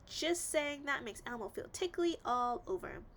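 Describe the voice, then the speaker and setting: A woman puts on a cheerful little red monster with a childlike, eager way of talking. The voice sits high and bounces around with lively melody, as in a children's storybook. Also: measured pace, slight background noise, exaggerated voice. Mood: amazed, giggly. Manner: childlike, eager, speaks of self in third person.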